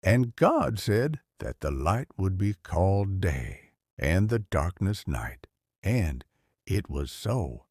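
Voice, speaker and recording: An actor playing a kindly old grandfather who tells Bible stories in an unhurried, expressive, theatrical way. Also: sits low, husky, no echo, clean studio sound, exaggerated voice. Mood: reverent. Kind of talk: dramatized audio story narration.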